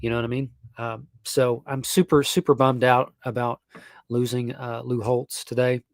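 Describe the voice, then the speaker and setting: A man's voice: medium pitch, little pitch movement, moderate pace, no room sound. A young man, hosting a casual sports live stream and rambling with fillers and restarts. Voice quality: nasal.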